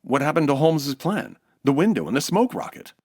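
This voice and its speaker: An actor playing an incredulous gentleman in a comedy audio drama. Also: fairly high pitch, very animated, a little fast, dry recording, clean studio sound.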